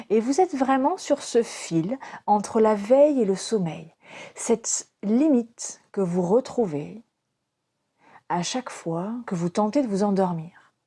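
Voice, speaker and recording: Slow, soft-edged, mellow woman guiding relaxation. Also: medium pitch, breathy edge. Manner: calm, soothing, instructing in short phrases with pauses. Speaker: yoga nidra teacher. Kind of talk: guided relaxation meditation.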